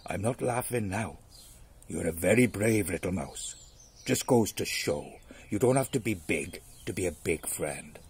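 A man's voice: low voice, expressive, measured pace, breathy edge, pitched below the performer's own voice, full character voice. A man voicing a huge, imperious male lion with a teasing manner in a storybook fable. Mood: humbled, grateful.

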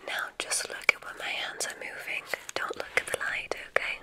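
A woman whispering an ASMR roleplay as a kindly witch examiner, giving soothing, deliberate instructions in a breathy voice.

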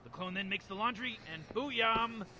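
A man's voice: high-pitched, moderate pace, sharp tone. A man voicing a smug, rambling old mad scientist who brushes off every objection. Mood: scheming. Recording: noisy outdoor recording with traffic.

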